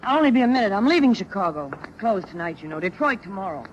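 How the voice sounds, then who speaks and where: An actress playing a wary, slangy young vaudeville performer in an old-time radio drama, halting when flustered. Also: female voice, medium pitch, very animated, close to an everyday voice. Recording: muffled, noisy vintage radio broadcast.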